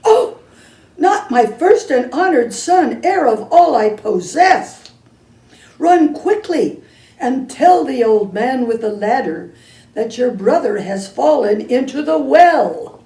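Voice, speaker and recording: Formal, scolding mother in a storybook, read by a woman, slow, high, echoey and distant. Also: expressive, creaky, mellow. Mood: stern. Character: stern, proud mother of two sons.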